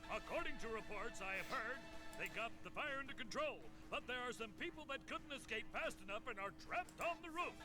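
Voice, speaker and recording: A man's voice: very high-pitched, lively intonation, a little fast. A man voicing an urgent male mission briefer in a video game cutscene, speaking earnestly over music.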